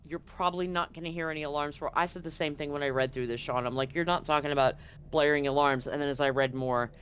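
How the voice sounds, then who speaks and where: A game master talks players through a tabletop roleplaying scene. The voice sits at a middle pitch and explains details conversationally. There is faint noise behind the voice. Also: fairly even intonation, fast, a bit bright.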